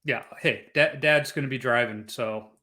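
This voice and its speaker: A man's voice: medium pitch, moderate pace, bright, forceful tone. A middle-aged man from Chicago hosting a casual podcast, chatty and rambling with restarts. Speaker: podcast host.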